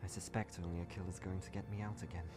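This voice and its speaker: Guy doing a daring lady adventurer in a comic dub, lowish voice, dry quips, music behind. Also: flat delivery, quick pace, natural voice. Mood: musing. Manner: wry, quipping, talks to self. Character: witty, daring female adventurer and archaeologist, unflappable. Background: clear music.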